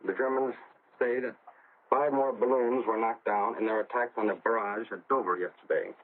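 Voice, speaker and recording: A man giving a scripted 1940s radio news report as a correspondent, measured and careful to make figures clear. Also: medium pitch, fairly even intonation. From United States. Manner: measured, repeats figures for clarity. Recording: crackly, phone-like 1940 shortwave radio relay.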